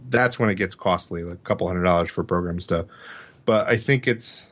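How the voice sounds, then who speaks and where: A man who produces audio dramas, answering casually and a little self-deprecating. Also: lowish voice.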